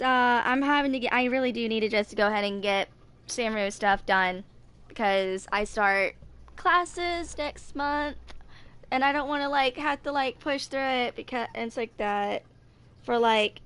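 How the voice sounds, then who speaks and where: A woman in her twenties giving casual, rambling game commentary, thinking aloud in a halting, distracted way. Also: fairly high pitch, fairly bright tone, clear, resonant tone. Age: 20s. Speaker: gaming video creator.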